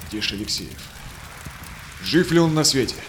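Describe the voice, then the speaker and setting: An actor playing a steadfast, devout Russian Cossack explorer in a rhymed verse audio drama, with measured, solemn delivery. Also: male voice, mid-range voice, lively intonation, background noise, close to an everyday voice. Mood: weary.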